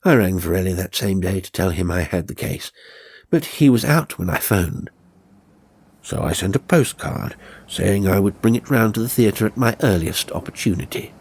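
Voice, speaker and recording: A man narrating a horror story as an audiobook reader, in a deliberate, suspenseful and theatrical way. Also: low voice, lively intonation, darkish tone, slightly rough, no room sound. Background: faint music.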